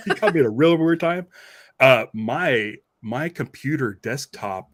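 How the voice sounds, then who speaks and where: A man's voice: mid-range voice, animated, slow pace, a bit bright. A man hosting a podcast in casual, informal chat, telling things loosely and conversationally. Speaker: podcast host.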